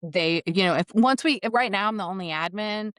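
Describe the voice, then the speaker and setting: An earnest woman talks thoughtfully and often restarts her sentences. Her voice is smooth and clear, and the sound is dry and close. She speaks as a guest on a remote call. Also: medium pitch, moderate pace, a bit bright, dry recording. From Massachusetts, USA. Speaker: guest, retreat leader. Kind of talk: casual conversation.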